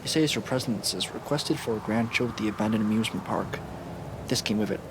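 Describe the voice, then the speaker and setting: A man's voice: lowish voice, fast, background noise, natural voice. A man playing a blunt but uneasy police detective in a radio drama, speaking plainly and hesitantly. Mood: wary.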